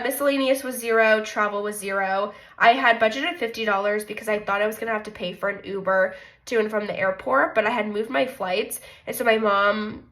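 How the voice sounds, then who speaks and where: A woman's voice: mid-range voice, fairly bright tone. A young woman with a stuffed-up, nasal voice from a cold talks casually through her budget at home. She thinks aloud, drops frequent likes and shrugs off her own mistakes with easy self-deprecation. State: congested from a cold. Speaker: budgeting vlogger. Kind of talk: casual chat walking through a monthly budget.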